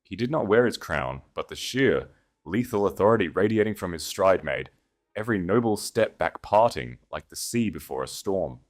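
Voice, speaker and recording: A man reading scripted dramatic fiction as narrator, in a measured, solemn and theatrical manner. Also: deep voice, expressive.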